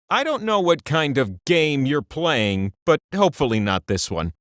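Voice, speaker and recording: An actor playing a quirky, excitable trivia host in a party video game, with a theatrical, playful delivery and exasperated asides. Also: male voice, fairly high pitch, no room sound, studio quality. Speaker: game show host character. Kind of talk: quiz hint narration for a party video game.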